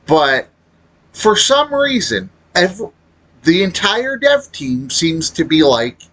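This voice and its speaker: A man giving casual, unscripted opinion commentary as a gaming video creator, halting as he talks and blunt in his complaints. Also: sits high, big pitch swings, a bit bright, rough recording.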